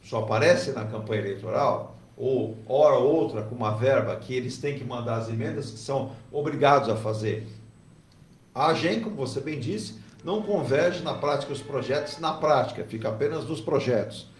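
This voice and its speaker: A male talk show host with a mid-pitched voice, speaking conversationally. He uses plenty of fillers and tag questions. He sounds roomy and set back from the microphone. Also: moderate pace. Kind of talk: hosting a discussion. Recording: roomy and distant from the mic.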